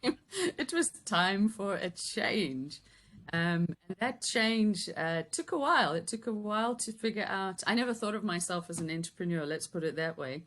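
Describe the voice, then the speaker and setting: A woman in her fifties from Yorkshire, now a business coach, with a softened Yorkshire accent, talking as a guest in a relaxed, chatty way with many ums. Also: mid-range voice, normal speed. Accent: Yorkshire English softened by South African years. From Yorkshire, England. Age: 50s. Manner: warm, chatty, thoughtful with frequent ums and light laughter. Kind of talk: casual interview chat.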